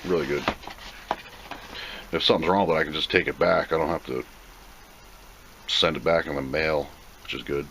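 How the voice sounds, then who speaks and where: Low-voiced man rambling casually. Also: fairly even intonation, moderate pace, pauses often, a bit bright, rough recording. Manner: rambling, plain-spoken, thinking aloud. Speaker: video host reviewing a product. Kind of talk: casual unboxing commentary. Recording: clear background noise.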